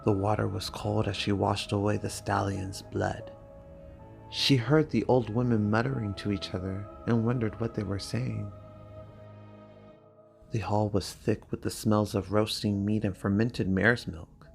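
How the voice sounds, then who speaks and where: A man narrating a story dramatically, with a gravelly voice and a measured, theatrical delivery. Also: lowish voice, steady tone, faint music behind.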